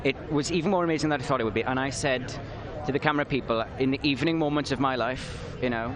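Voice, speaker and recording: A teenage boy from Wales with a Welsh accent, talking earnestly and at length in a live street interview outdoors. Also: mid-range voice. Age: teens. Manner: earnest, rambling, quick-talking. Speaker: interview guest. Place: outdoors at a downtown riverfront. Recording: outdoor street with a loud crowd behind.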